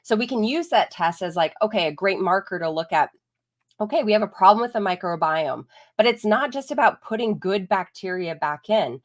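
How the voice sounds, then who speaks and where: A woman's voice: medium pitch, expressive, fairly quick. A woman veterinarian giving a chatty live talk while congested from a chest cold, explaining things with lots of "like" and "right?". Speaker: holistic veterinarian. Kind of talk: live-streamed educational talk.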